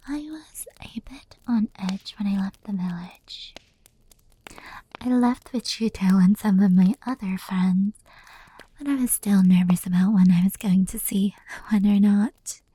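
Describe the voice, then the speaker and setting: Whispered ASMR, woman acting as gentle female fox demon, very mellow, breathy, unhurried, intimate storytelling. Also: medium pitch, low-quality recording. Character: gentle female fox-demon yokai telling old legends.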